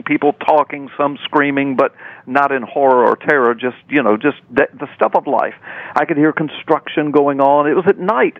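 A man's voice: mid-range voice, dry recording. An older man telling a personal story as a radio guest, emphatic and dramatic, often repeating phrases for effect. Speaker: radio guest, preacher and author. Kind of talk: animated personal storytelling in an interview. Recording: dull, narrow radio line, dry.

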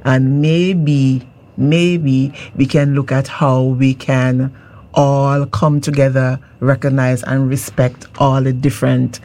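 Slow, mellow-voiced education speaker. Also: medium pitch, flat delivery. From Montserrat. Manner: measured, hesitant, repeats words. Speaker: education representative, panel guest.

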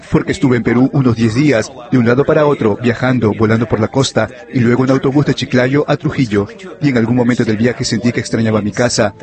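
A man giving a voice-over interpretation of an interview, relaying it in a steady, fluent way. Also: mid-range voice.

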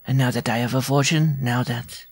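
A man reading a story aloud, voicing a tiny, proud and jealous circus dwarf in a shrill, pompous, impassioned voice. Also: steady tone, normal speed, creaky, no room sound, clean studio sound. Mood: ardent.

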